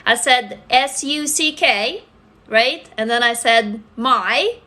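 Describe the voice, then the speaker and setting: A woman in her forties with a Russian accent talks straight into her phone from a desk at home. Her voice sits high and bright, and she chats openly with viewers, dropping swear words without a second thought. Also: takes it slowly. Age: 40s. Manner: candid, swears freely, chatty asides to viewers. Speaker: livestream host. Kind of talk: casual livestream chat. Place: indoor room at a desk. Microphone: phone camera close up.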